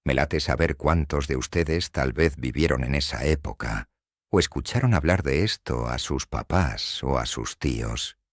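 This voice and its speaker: A man's voice: extremely low pitch, measured pace, no room sound, clean studio sound. A man with a Mexican accent narrating a scripted horror story in a grave, suspenseful manner.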